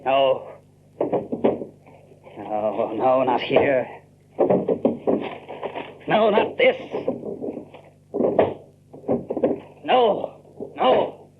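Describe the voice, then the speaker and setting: An actor playing an anxious, formal colonial gentleman heir who speaks haltingly in archaic language, in an audio drama. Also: medium pitch, big pitch swings, narrow, tinny audio, a little hiss or hum, full character voice. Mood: agitated searching. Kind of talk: audiobook radio drama acting.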